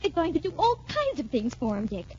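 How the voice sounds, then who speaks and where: High-pitched, earnest 1940s radio girl. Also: huge pitch swings, a little fast, warm tone, lo-fi sound. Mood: urgent, pleading. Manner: earnest, urgent, emphatic. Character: earnest, anxious teenage girl, coach's daughter. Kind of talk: 1940s radio adventure acting. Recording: band-limited 1940s radio recording.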